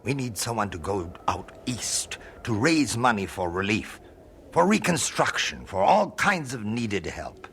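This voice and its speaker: A businesslike civic relief man in a radio drama. His lowish voice swings widely in pitch as he makes a forthright, persuasive case. Faint noise sits behind him. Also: sing-song, measured pace, creaky, a bit bright, heavily acted. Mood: brisk, urging.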